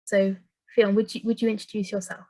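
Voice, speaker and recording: Woman interviewer, encouraging and warm, mid-pitched, dry close sound. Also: little pitch movement, a little fast, no room sound. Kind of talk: supportive interview questions.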